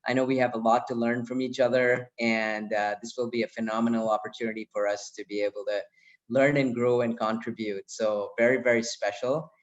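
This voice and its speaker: A man's voice: mid-range voice, flat delivery, brisk. Effusive man welcoming a video call. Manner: effusive, enthusiastic, with fillers. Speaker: senior mentor. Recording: dull video call audio.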